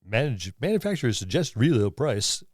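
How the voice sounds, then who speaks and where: A lower-pitched man with very lively intonation. He banters casually as a podcast co-host, teasing with a wry sense of humour. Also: brisk, breathy edge, no room sound, clean studio sound. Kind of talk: casual banter among co-hosts.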